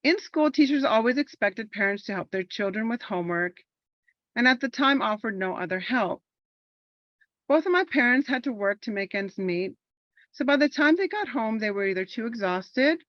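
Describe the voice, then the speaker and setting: Woman veteran from Los Angeles, Spanish first language, earnest reflective monologue, slightly high voice. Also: animated, normal speed, no room sound, lo-fi sound. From Los Angeles, California. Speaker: army veteran performing a monologue. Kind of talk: personal monologue performance.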